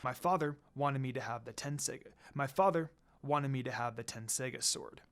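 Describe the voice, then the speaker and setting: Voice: mid-range voice, moderate pace, fairly bright tone, pitched below the performer's own voice, close to an everyday voice. A storyteller voicing a cold, proud male demon lord in a fan fiction reading, murmuring and stern. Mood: brooding, bitter.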